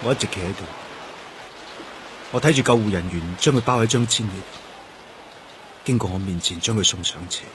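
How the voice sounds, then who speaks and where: Actor as deep-voiced grieving Hong Kong police detective. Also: male voice, brisk, pauses often, noisy background, natural voice. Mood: numb, sorrowful. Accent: Hong Kong Cantonese. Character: grief-stricken male police detective narrating his girlfriend's death. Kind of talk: radio drama monologue and acting.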